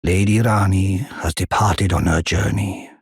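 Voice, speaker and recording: Casting: aged giant blacksmith-counselor for a fantasy game. Very low, heavily rasping, solemn and devoted. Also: male voice, steady tone, no room sound, clean studio sound, heavily acted. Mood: pleasantly surprised. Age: older. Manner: slow, solemn, archaic courteous phrasing. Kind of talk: formal video game character acting.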